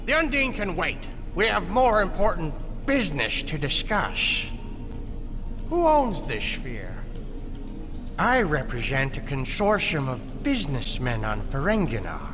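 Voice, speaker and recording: High, swooping, greedy alien businessman. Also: sing-song, unhurried. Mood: pushy, scheming. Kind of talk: video game character acting. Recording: long hall reverb, loud music behind.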